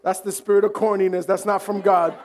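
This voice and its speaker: A pastor preaching a sermon with animated delivery, joking and acting out the voices of the crowd. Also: male voice, high-pitched, brisk, slight background noise.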